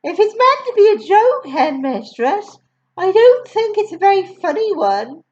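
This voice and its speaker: A woman voicing a gentle young schoolteacher who speaks softly and politely, on a dull lo-fi recording. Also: extremely high pitch, big pitch swings, measured pace, voice pushed up, full character voice. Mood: timid. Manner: soft, polite, quietly disapproving. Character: gentle young female schoolteacher, timid but principled.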